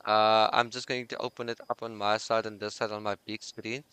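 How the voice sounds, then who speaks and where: A man hosting a sports podcast, his voice very smooth and resonant in a dry, close-miked sound. He talks in a relaxed, chatty way and thinks aloud as he goes. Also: on the low side, a little fast, no echo, low-quality recording. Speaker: podcast host. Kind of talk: casual sports podcast chat.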